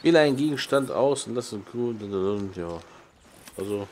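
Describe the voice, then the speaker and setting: A man's voice: medium pitch, expressive, moderate pace, darkish tone, a little hiss or hum. A man hosting a let's play, casually commenting, muttering to himself and reading out prompts. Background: game music and island sounds.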